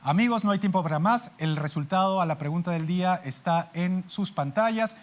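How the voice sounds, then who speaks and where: A man's voice: high voice, brisk, loud music behind the voice. Hesitant man hosting a TV interview. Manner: hesitant, fills with "eh" when framing questions. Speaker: TV interviewer and program host. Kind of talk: broadcast interview.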